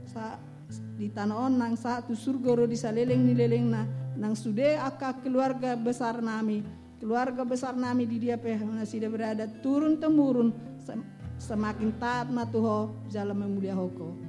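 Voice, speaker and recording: A woman praying aloud fervently in a church service. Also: fairly high pitch. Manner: fervent praying over soft guitar. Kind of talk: spoken prayer.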